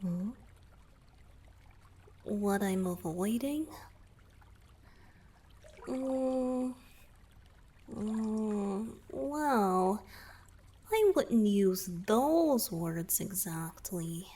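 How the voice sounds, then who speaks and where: A woman voicing a gentle young merman boyfriend in a romantic ASMR roleplay, soft-spoken and hesitant, often slipping into breathy whispers. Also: mid-range voice, animated, extremely slow, long pauses, full character voice. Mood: hesitant, coy.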